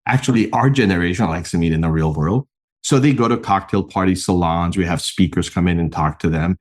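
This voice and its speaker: A middle-aged man from Mexico, a podcast guest and founder, explaining his work in an animated, earnest way with a few restarts. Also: low-pitched, fairly quick. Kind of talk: conversational interview answers.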